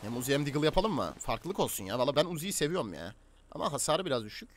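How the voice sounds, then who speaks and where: Man, quick talker, gaming streamer, slangy banter, bossy, clear vehicle noise behind. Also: fairly high pitch, big pitch swings. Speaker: streamer and player in a roleplay game. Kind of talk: casual gaming livestream chat. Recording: game car engine noise behind voice. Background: video game vehicle sounds.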